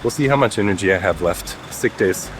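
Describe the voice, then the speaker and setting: A man's voice: low voice, brisk, a little hiss or hum, rough recording. Congested young man chatting casually. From Chicago area, USA. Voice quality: nasal, stuffed-up. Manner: relaxed, chatty, talks while walking. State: congested from a cold. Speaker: vlogger. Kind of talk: casual vlog chat. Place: outdoors on city streets. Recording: with music playing underneath.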